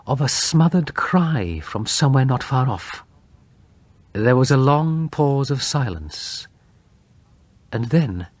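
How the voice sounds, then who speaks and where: A man reads a Gothic horror story as an audiobook narrator. His mid-pitched voice is recorded dry and close, and he builds suspense with measured phrasing and dramatic pauses. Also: dry recording.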